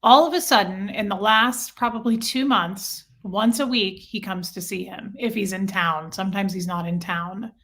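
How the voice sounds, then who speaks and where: A woman's voice: mid-range voice, normal speed, hardly any pauses, fairly bright tone. A woman speaking as an interview guest, telling personal stories casually and earnestly, often acting out both sides of conversations.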